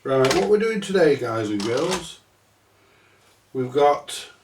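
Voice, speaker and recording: A man's voice: fairly high pitch, very animated, reverberant, noisy background. A middle-aged man with a stuffy cold, talking through a repair in a small workshop, rambling and swearing casually. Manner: slow, rambling, sweary, self-mocking. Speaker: repair channel host. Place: small cluttered electronics workshop, indoors. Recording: distant from the mic.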